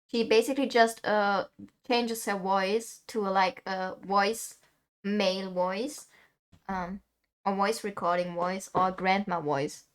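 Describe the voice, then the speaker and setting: A woman's voice: medium pitch, fairly even intonation, takes it slowly, smooth. A young woman from Germany, chatting casually and laughing often while streaming a game.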